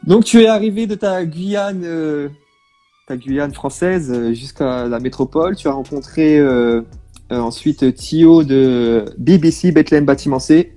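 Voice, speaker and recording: A man's voice: a little high, measured pace, dark tone, soft background music. A man hosting a casual live stream, greeting viewers by name and asking his guest questions.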